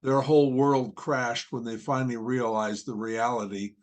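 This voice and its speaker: A man's voice: medium pitch, fairly even intonation, moderate pace. A man hosting a podcast interview, talking in a rambling way with many fillers. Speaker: podcast host.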